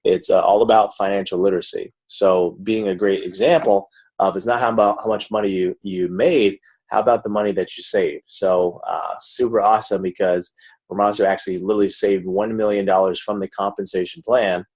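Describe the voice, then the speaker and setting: A man presenting a network marketing pitch on an online webinar, speaking informally and chattily to his audience in a salesy way. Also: fairly even intonation, a little fast, a little mellow, husky. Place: live video call.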